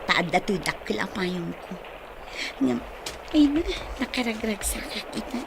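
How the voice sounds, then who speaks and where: A woman's voice: medium pitch, animated, a little slow, creaky, background noise. A woman acting an anxious, easily startled young woman with an Ilocano accent in a radio drama. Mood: playful, weary.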